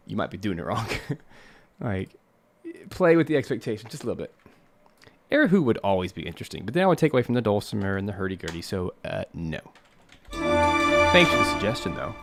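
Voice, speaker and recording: A man composing on a live stream, chatting casually, humming lines and counting off beats with joking asides; a baritone who sings tenor.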